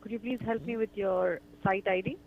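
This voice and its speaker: A woman's voice: medium pitch, steady tone, moderate pace. A woman working as a customer support agent on a phone call, polite and formal, repeating herself. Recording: tinny phone line.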